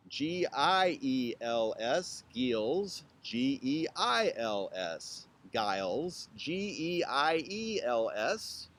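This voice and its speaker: A chatty man introduces himself to camera from outdoors, his pitch swinging up and down as he talks. He has a playful, joking way of speaking and spells out words slowly, one letter at a time. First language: English. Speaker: YouTube channel host. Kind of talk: casual self-introduction to camera. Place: outdoors with trees behind.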